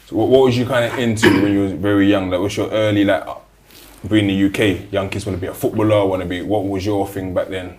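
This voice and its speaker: A man's voice: on the low side, steady tone, quick pace, a little mellow, a little hiss or hum. A man with a London accent hosting an interview, asking long, rambling conversational questions. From South London. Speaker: interview host. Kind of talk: casual interview.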